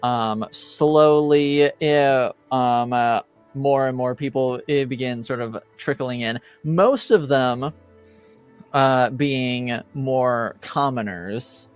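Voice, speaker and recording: A man running a tabletop roleplaying game as game master, narrating in a halting way as he pieces scenes together. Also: medium pitch, clean tone, faint music behind.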